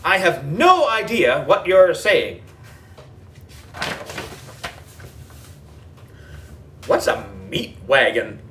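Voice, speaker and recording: Man acting female news reporter, earnest, formal on-air delivery, high voice, slightly distant mic, storybook. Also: wildly swooping pitch, slow, sharp tone, slight background noise, exaggerated voice. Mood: baffled. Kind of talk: children's audiobook character voice.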